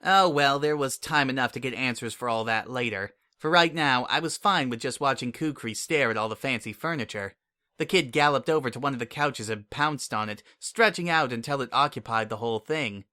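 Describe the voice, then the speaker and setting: A man reading a fantasy adventure aloud, telling it in first person at an easy, conversational pace. His voice sits mid-range with a bright, forward tone. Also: mid-range voice, a little fast. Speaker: story reader. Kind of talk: dramatic audiobook narration.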